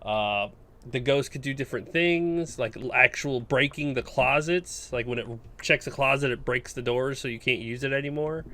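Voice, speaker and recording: A man speaks in a casual, conversational way, as a co-host would on a gaming podcast. His pitch sits in an ordinary middle range for a man. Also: moderate pace, pushed and bright.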